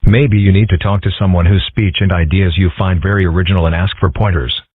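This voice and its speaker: A man voicing dry, understated nerdy schoolboys in an amateur comic-strip dub, with a husky voice. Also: deep voice, fairly even intonation, fast talker, no room sound. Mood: dry, mild. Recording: muffled, phone-like lo-fi audio.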